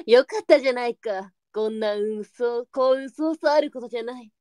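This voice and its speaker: A woman's voice: fairly high pitch, very animated, moderate pace, darkish tone, no echo, studio quality. A woman playing a worldly, firm but kindly brothel madam, composed and matter-of-fact, in a Japanese period audio drama. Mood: composed, advising.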